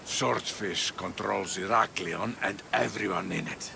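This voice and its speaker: A man's voice: unhurried, vocal fry. An actor playing a tired, fearful old townsman who gives information cautiously and hesitantly in a video game cutscene. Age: older. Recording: rumbling, noisy background.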